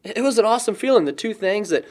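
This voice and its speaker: A young male actor as a chatty, lovestruck young guy with a lively, swinging, fairly high voice. He is lighthearted and joking, rambling through casual audio drama dialogue. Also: fast. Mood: earnest, smitten. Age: young adult.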